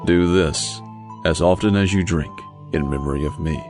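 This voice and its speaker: A man plays a solemn holy male teacher in an audio scripture reading. The voice is extremely deep and deliberate. Faint music sits behind it. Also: darkish tone, natural voice. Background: background music.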